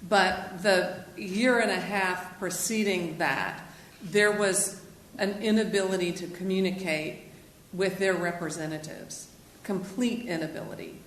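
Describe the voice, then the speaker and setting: City staff lady laying out procedure firmly, loud voice, echoey council room, bit of noise. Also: fairly low pitch, measured pace. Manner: firm, explanatory, says she has a loud voice. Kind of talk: council meeting discussion.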